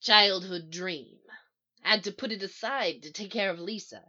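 A woman's voice: mid-range voice, measured pace, bright, forceful tone, lo-fi sound. Woman voicing a tired, earnest male supervillain. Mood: matter-of-fact.

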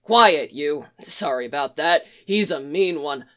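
A woman's voice: low voice, lively intonation, measured pace, pushed and bright, pitched below the performer's own voice, full character voice. A woman voicing a bearded, folksy horse seller pushing his stock. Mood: salesy. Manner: salesy, folksy, hesitant. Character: bearded middle-aged male stable seller, folksy.

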